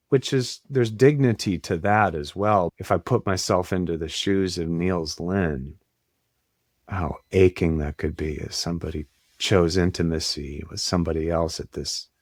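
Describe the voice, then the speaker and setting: A man's voice: deep voice, a little mellow, dry recording, studio quality. A middle-aged man hosting a podcast interview over a video call, reflective and earnest. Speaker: podcast host. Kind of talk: interview conversation. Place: room with bookshelves.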